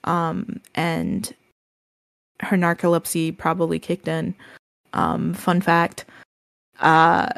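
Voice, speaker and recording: A woman co-hosting a casual podcast, speaking gently and haltingly with many ums and restarts, her voice described as soothing. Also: lowish voice, monotone, creaky, dry recording. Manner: gentle, reassuring advice. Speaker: podcast co-host.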